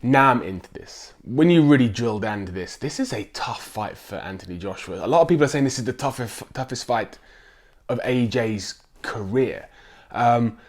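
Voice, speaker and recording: Croaky man talking fights. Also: mid-range voice, animated, normal speed. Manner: chatty, with frequent ums and restarts. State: croaky with a chest infection. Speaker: sports vlogger. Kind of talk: casual chat to camera.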